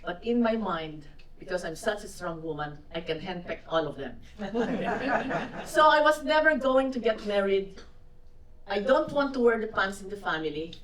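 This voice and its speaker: Older Filipino woman, guest at a fireside chat, lively storyteller, heard from afar in an echoey hall. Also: medium pitch, moderate pace, far from the mic. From Philippines. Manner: animated storytelling, acting out quoted remarks. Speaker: guest speaker. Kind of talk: fireside chat answering audience questions. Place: event hall.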